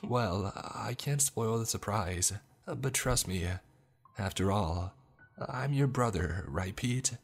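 A man plays a ghostly seven-year-old boy in a horror tale. The voice is pushed up high, playful and slyly coaxing. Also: animated, takes it slowly, creaky, breathy edge, faint music behind, heavily acted. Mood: sly, teasing.

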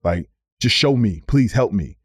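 A man in his thirties, a former pro basketball player, telling stories on a podcast in a casual, animated way, repeating words as he goes. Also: fairly low pitch, unhurried, breathy edge, dry recording, studio quality. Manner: animated storyteller, slips into quoted voices, repeats words. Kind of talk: casual storytelling.

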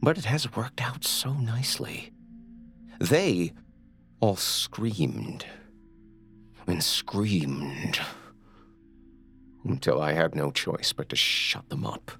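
A man playing a sadistic, possessive kidnapper and killer in a horror audiobook, speaking with menacing, mock-affectionate sweetness and a gravelly voice. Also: low voice, animated, drawn-out words, long pauses, fairly bright tone, faint music behind, exaggerated voice. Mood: gloating. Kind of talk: dramatic horror audiobook acting.

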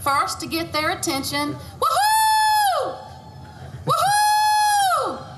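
A woman giving a deliberate, presentational demonstration of hollering calls, with explanations in between. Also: extremely high pitch, sing-song. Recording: loud noisy ambient archive clip.

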